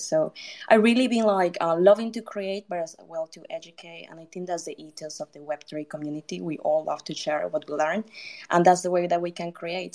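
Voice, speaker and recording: Guest type: a low-pitched woman from Colombia, relaxed and talkative. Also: vocal fry. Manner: chatty, laughs, many fillers. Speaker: guest designer and educator. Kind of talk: casual conversation.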